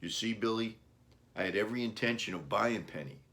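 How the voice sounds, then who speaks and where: A man reading as a low-voiced apologetic father. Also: lively intonation, fairly quick, bright, forceful tone. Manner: earnest, explaining. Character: apologetic, earnest father of young boy. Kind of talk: children's storybook reading.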